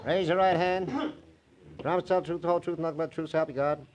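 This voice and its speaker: A man's voice: fairly high pitch, fairly even intonation, fast talker, darkish tone, close to an everyday voice. A man playing an officious court clerk, rattling off formulas in 1940s radio drama style.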